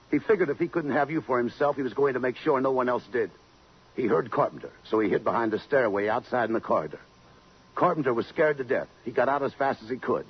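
Hard-boiled police detective, rough husky voice, matter-of-fact, slangy, 1950s radio play, dull old broadcast sound. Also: male voice, mid-range voice. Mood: grimly certain. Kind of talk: 1950s radio drama acting.

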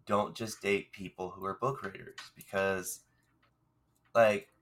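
A man co-hosting a podcast, congested and hoarse from a cold, rambling in casual chat with frequent restarts. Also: low-pitched, fairly even intonation, slow pace, a bit bright. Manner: rambling, self-deprecating, restarts often. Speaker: podcast co-host.

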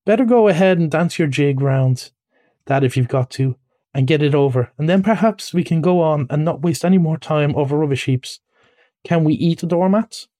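A man acts out a grumbling storybook mole, pitched well up from a natural speaking voice. The tone is mellow but plaintive and huffy, full of self-pity and pettish, literal-minded objection. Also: moderate pace, no room sound, studio quality, close to an everyday voice. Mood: huffy, sarcastic.

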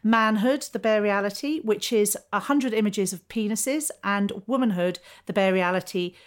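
A woman's voice: mid-range voice, moderate pace. A fifty-year-old woman, hosting a podcast and introducing guests in an encouraging way. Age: 50s. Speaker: podcast host. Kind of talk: casual interview. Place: a home, indoors.

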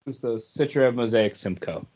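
A man's voice: medium pitch, a little fast, a little mellow, muffled recording. A man co-hosting a podcast, talking casually as if reviewing things among friends. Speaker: podcast co-host. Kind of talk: casual chat, reviewing beer.

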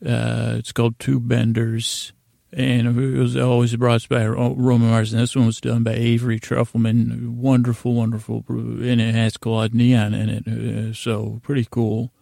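Gravelly, creaky man, rambling podcast host. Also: mid-range voice, monotone, dark tone, no echo, clean studio sound. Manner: meandering, halting, repeats and trails off mid-thought. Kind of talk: rambling, informal sleep podcast intro.

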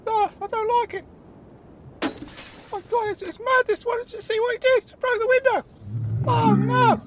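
A man's voice: fairly even intonation, moderate pace. A man voicing a timid, grumbling older companion who fusses and protests anxiously in a comic audio story. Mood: panicked. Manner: fussy, anxious, hushing and protesting. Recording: faint rumbling background noise.

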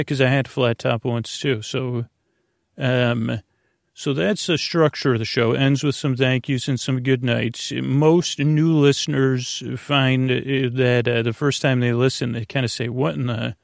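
A man with a gravelly, creaky voice talks in a dry, close space. He hosts a sleep podcast as a rambling monologue, halting, drifting off mid-thought and looping back to where he was. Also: mid-range voice, fairly even intonation, dry recording. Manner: meandering, halting, often trailing off and circling back. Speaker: podcast host. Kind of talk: rambling bedtime monologue to help listeners sleep.

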